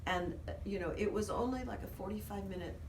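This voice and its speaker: A woman's voice: mid-range voice, quick pace, a little mellow. A woman speaking as an interview guest on senior care, giving conversational, emphatic advice with anecdotes and repeated phrases. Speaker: interview guest, senior care expert and former memory care director. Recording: roomy, distant microphone, clear background noise.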